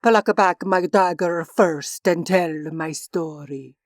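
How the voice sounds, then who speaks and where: An actress voicing a mysterious, mocking spirit of fate in a poetic drama, theatrical and incantatory. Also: female voice, on the low side, slow, no room sound, studio quality. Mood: haunting.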